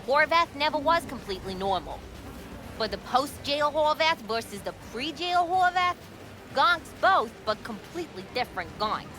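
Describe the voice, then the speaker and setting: An actress playing a sarcastic, foul-mouthed market food vendor in a video game, blunt and slangy. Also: female voice, high-pitched, expressive, normal speed. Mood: sardonic. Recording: music behind the voice.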